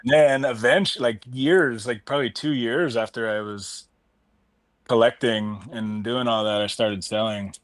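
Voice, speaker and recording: A man's voice: medium pitch, a little slow, a bit bright, dry recording. A man in his thirties telling his collecting story in a casual group video call, rambling and restarting often. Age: 30s. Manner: rambling, self-interrupting, enthusiastic. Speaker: collector and card seller.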